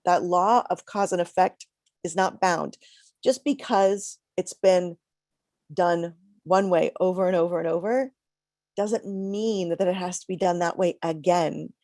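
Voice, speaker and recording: A middle-aged woman minister giving a reflective, conversational sermon with pauses, a little congested from a cold. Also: fairly low pitch, darkish tone, no room sound, clean studio sound.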